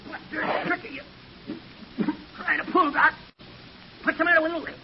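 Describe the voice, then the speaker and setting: An actor playing a menacing gangster thug, groggy and gasping, in 1940s radio style. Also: male voice, extremely high pitch, steady tone, moderate pace, vocal fry. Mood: groggy menace. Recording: noisy, muffled 1940s radio recording.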